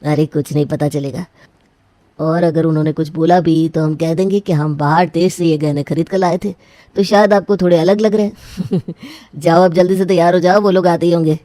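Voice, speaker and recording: Boastful scheming dad for a story, voiced by a woman, lowish, mellow, pushy. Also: fairly even intonation, normal speed, no room sound, close to an everyday voice. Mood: smooth, confident. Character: scheming, boastful middle-aged father.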